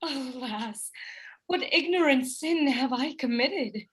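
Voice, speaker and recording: Woman playing innocent wronged wife in shakespeare audio play, high voice, slow, earnest n sad. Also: lively intonation, a bit bright, breathy edge, heavily acted. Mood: distressed, wounded. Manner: earnest, formal, sorrowful. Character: loyal, innocent young wife, wounded and pleading. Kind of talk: Shakespearean radio play acting.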